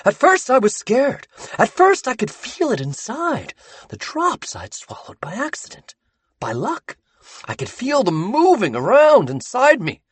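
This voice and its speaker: A man voicing a crazed masked man who rants and giggles in a high, manic voice. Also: huge pitch swings, unhurried, husky, heavily acted. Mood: feverish. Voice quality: manic, breaking into high shrieks.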